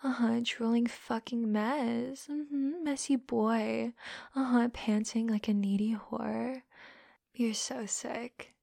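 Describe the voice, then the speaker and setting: A young woman playing a teasing, domineering woman who mocks a listener, close to the mic, shifting between soft hushed whispers and louder taunts. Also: on the high side, steady tone, a little slow, creaky, dry recording. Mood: mocking.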